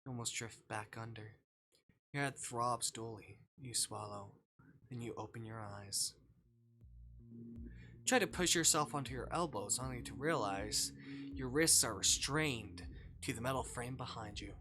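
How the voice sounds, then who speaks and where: A man livestreaming, reading a visual novel aloud in a dramatic, hushed storytelling way. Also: medium pitch, a little slow, slight background noise. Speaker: streamer. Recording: faint music behind. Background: faint music.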